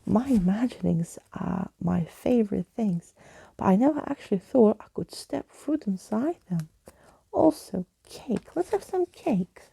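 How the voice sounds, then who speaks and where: A woman acts out a cheeky girl from a storybook, playful and full of imagination. The voice is light and teasing in manner but hushed, breathy and slow. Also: mid-range voice, animated, exaggerated voice. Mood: delighted.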